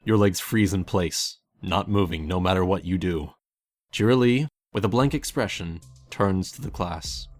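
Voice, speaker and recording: Low-voiced man narrating a story over music. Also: moderate pace. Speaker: fan fiction narrator. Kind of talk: scripted second-person story narration.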